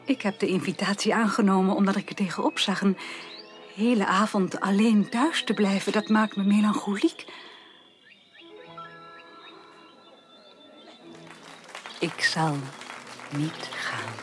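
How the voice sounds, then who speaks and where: A woman's voice: medium pitch, normal speed, faint music behind, some chatter behind, close to an everyday voice. An actress playing a melancholy, broken young upper-class woman in a radio drama, formal and resigned, often subdued almost to a whisper. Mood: subdued, wistful. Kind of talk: 1980s Dutch radio drama acting.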